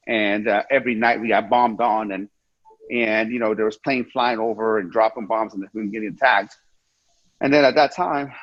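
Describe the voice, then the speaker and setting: A man's voice: mid-range voice, dry recording. A man in his forties from Iran speaks as an interview guest over a video call. He talks earnestly and circles back on his own phrases, repeating words and restarting sentences. The audio is dry and somewhat muffled, with no room sound around it. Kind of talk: casual interview talk. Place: indoor room with ceiling fan, video call.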